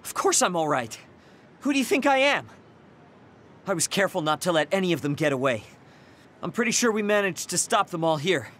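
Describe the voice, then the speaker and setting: An actor playing a proud, insecure young soldier in an anime video game, curt and defensive. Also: male voice, sits high, very animated, a little fast, pauses often, exaggerated voice. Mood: prickly, then urgent, resolute. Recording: loud music under voice. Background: game soundtrack music.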